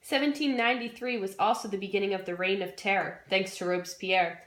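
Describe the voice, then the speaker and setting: A woman's voice: medium pitch, fairly even intonation, a little fast, a bit bright, a little hiss or hum. A young woman, a student presenter, delivering a scripted history skit in an informal, jokey way. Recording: roomy, echoey room sound.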